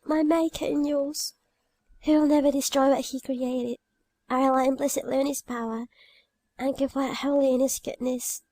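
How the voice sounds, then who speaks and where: A woman playing a gentle, pious young girl who is dying, speaking softly and weakly in a dramatic audiobook reading. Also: sits high, normal speed, no echo. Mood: devout, calm. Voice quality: soft, weak, breathy. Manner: calm, gentle, tender.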